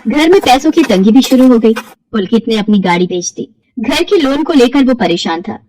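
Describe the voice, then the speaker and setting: A woman's voice: fairly high pitch, expressive, moderate pace, a little mellow, a little hiss or hum, poor audio. A woman narrating a scripted cartoon moral story as a storyteller.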